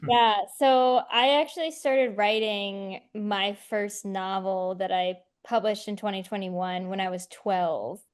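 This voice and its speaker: A woman's voice: medium pitch, fairly even intonation, slow pace, hardly any pauses, clear, resonant tone. A woman in her twenties from near Chicago, a guest author telling her story in a chatty, filler-heavy way on a podcast. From northwest Indiana, near Chicago. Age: 20s. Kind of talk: casual podcast interview.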